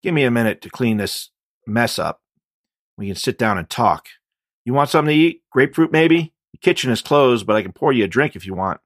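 A jittery, suspicious middle-aged man, acted by a male narrator rambles in coarse, casual audiobook dialogue. His pitch rides above the reader's low register, and the sound is dry and close. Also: expressive, brisk, a bit bright, no echo. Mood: gruffly hospitable.

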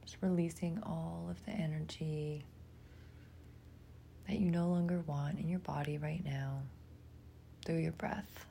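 A woman leading a guided imagery meditation in a soft, breathy, gentle voice, leaving long pauses between short, soothing phrases. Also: low-pitched, fairly even intonation, slight background noise.

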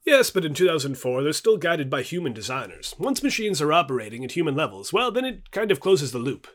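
A man voicing a polite, reasoning Victorian gentleman naturalist in a book dialogue. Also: fairly high pitch, big pitch swings, a little fast.

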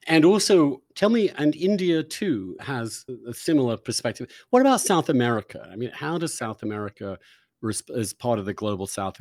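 A man's voice: fairly low pitch, a little mellow, no echo. A man interviewing a guest, posing long conversational questions mixed with opinionated, emphatic commentary.

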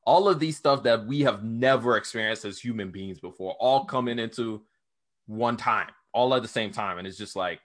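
A young man hosting a podcast interview, talking in a casual, slangy, animated way and laughing often. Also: mid-range voice, moderate pace. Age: young adult. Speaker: podcast host.

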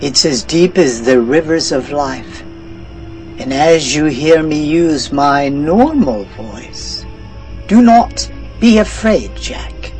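An archangel guardian speaks softly and steadily, each reassurance slow and measured, as a man puts him on. The voice is higher and lighter than the narrator's own, kept gentle so as not to frighten. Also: lively intonation, faint music behind. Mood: reassuring.

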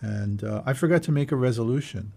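A man's voice: mid-range voice, fairly quick, gravelly, dark tone. A man hosting a podcast, chatting casually with his co-hosts. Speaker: podcast host.

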